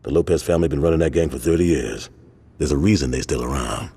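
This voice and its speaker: An actor playing a stern, commanding veteran street gang boss with a deep, husky voice in video game cutscenes. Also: male voice, dark tone, heavily acted. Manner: measured, commanding. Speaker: gang leader.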